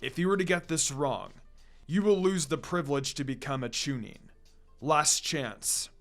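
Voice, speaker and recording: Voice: medium pitch, unhurried, faint music behind, lightly acted. A reader voicing a stern exam proctor who gives warnings in an anime fan fiction. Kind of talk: dramatic fan fiction character reading.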